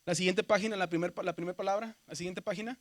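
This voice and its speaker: A man's voice: high voice, steady tone, fast. A man from Mexico with a Mexican Spanish accent, teaching a seminary class, who explains step by step, keeps checking with "¿verdad?" and calls his students brothers. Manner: addresses students as "hermanos". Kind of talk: classroom lecture.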